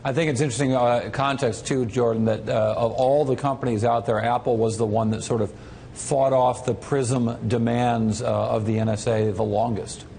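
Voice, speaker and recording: A man's voice: medium pitch, steady tone, moderate pace, dark tone, a little hiss or hum. A man making a conversational remark as a commentator in a broadcast panel discussion.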